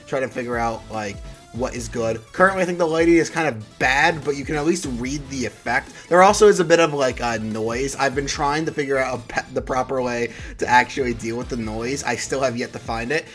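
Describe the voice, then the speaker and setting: A young man with a mild cold and a sore throat talks casually, rambling and restarting his sentences with plenty of fillers. His voice sits at an ordinary middle pitch. Faint music plays beneath him. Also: a bit bright. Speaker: card game YouTuber. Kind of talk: casual deck profile vlog.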